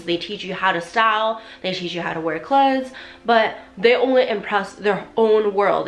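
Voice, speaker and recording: A woman in her twenties talking to camera in a bedroom, animated and chatty with lots of fillers, her voice half gone. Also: mid-range voice. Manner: animated, chatty, gestures a lot, many "like" fillers. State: losing her voice. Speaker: vlogger. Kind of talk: casual talk to camera. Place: small bedroom indoors.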